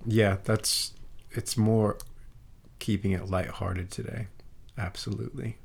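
A young man co-hosting a relaxed podcast. His voice is gravelly and husky, and he keeps it monotone and level. His delivery is dry and casual. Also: fairly low pitch, takes it slowly. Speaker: podcast co-host. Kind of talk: casual chat.

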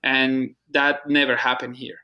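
Colombian man, flat even voice. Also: mid-range voice, dull audio. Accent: Colombian Spanish. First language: Spanish. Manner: halting, repeats words, earnest. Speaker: guest, soccer club operations manager. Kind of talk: casual interview conversation.

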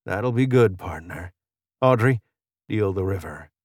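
A man voicing a swaggering, hot-tempered card-cheat outlaw with gruff cowboy talk, in a western audiobook. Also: sits low, expressive, measured pace, darkish tone, dry recording, clean studio sound. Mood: smug.

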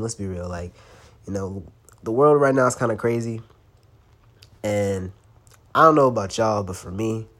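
Stuffy-voiced young man hosting a podcast. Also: fairly low pitch. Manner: loose and slangy, swearing, laughing now and then. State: congested, stuffy from a cold. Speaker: podcast host. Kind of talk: casual solo podcast talk.

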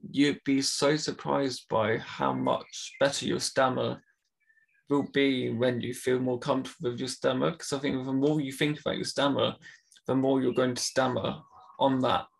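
A man's voice: medium pitch, fairly even intonation, normal speed, gravelly, husky, rough recording. A man who stammers, talking casually and openly with many fillers. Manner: stammers, talks openly about it. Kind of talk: casual conversation.

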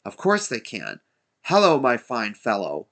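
A man's voice: mid-range voice, wildly swooping pitch, a bit bright, exaggerated voice. A man voicing an overjoyed, giddy old reformed miser in a storybook reading, in breathless, repeated exclamations. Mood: eager, delighted. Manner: breathless exclamations, rapid gleeful repetition.

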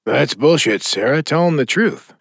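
Taunting phantom, very swoopy delivery. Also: male voice, fairly high pitch, animated, moderate pace, creaky, slightly rough, no echo, studio quality, full character voice. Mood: accusing. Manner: sardonic, needling, blunt. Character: taunting hallucinated dead man, mocking and controlling. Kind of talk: audio drama acting.

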